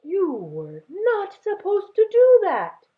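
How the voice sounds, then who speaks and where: An anxious, loving old grandfather from a storybook as a woman reader acts him out, urgent and protective. The voice is pitched high and swings widely with emphatic exclamations, through a tinny, phone-like recording. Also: wildly swooping pitch, slow, exaggerated voice. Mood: relieved, scolding. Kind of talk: children's storybook read-aloud. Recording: tinny, phone-like narrow sound.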